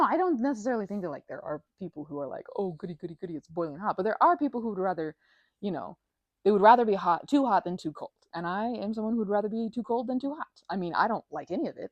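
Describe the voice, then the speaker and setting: A woman's voice: mid-range voice, a little mellow, no echo. A woman doing a casual ASMR roleplay as a chatty, scatterbrained best friend, rambling playfully from one tangent to the next.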